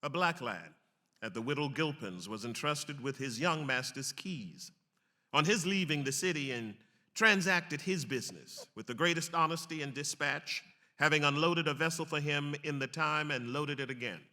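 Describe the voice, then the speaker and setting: A man declaims a historic text in a formal, emphatic style. His voice is fairly high and extremely bright and tense, with long reverb from a big hall. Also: normal speed. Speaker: actor reading aloud. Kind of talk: dramatic reading of a historic pamphlet.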